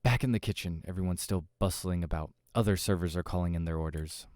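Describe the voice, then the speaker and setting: A man's voice: low-pitched, quick pace, darkish tone, dry recording, studio quality. A man reading a visual novel aloud as narration, sometimes stumbling over the words, with music behind him. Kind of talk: dramatic narration of game text, voicing characters. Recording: music under the voice. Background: game background music.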